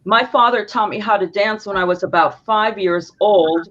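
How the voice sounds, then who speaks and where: A woman tells stories about dancing as a guest, with lively energy. Her voice comes through dull and a little lo-fi, like a remote call. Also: medium pitch, normal speed. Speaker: guest, dance instructor. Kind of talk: casual interview talk. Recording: dull lo-fi sound.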